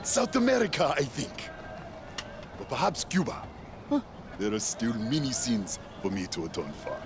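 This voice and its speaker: An actor playing a zealous, guilt-ridden preacher in a video game, theatrical and sermonizing. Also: male voice, medium pitch, huge pitch swings, normal speed, music in the background. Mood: grateful, solemn. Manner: theatrical, sermonizing, quoting scripture. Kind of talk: video game cutscene acting.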